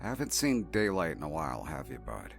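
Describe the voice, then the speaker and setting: A man's voice: a bass voice, very animated, fairly quick, gravelly, soft background music, pitched below the performer's own voice, exaggerated voice. A man playing a cocky, easygoing supernatural entity who talks in a casual, flippant, slangy way in an audio drama. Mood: relaxed, probing.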